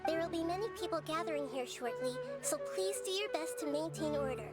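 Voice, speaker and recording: A gentle, wise little girl goddess: very high voice, soft and measured, over a loud game score. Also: animated, over loud music. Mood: calm. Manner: soft, earnest, measured and apologetic. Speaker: Dendro Archon. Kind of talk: video game dialogue.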